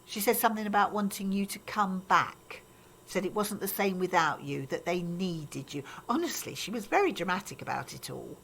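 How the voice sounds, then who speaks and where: A disapproving grandmother in an audio drama, older and set in her ways. Her chiding, gossipy talk swings widely in pitch, over a faint noisy background. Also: animated, normal speed, gravelly, fairly bright tone. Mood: indignant, gossipy. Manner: chiding, matter-of-fact, gossipy. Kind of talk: naturalistic radio drama acting.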